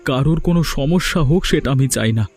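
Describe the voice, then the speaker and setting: Man acting a put-upon young husband, raised pitch. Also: fast, darkish tone, natural voice. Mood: hurt, resigned. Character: poor, put-upon young village husband, hurt and apologetic. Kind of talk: scripted cartoon dialogue. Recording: music behind the voice.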